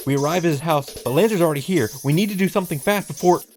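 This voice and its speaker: A man's voice: fairly high pitch, very fast, darkish tone, faint music behind. A man narrating a scripted story recap, breaking into sarcastic, exasperated reactions and swearing. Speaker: host of visual novel reaction and summary videos.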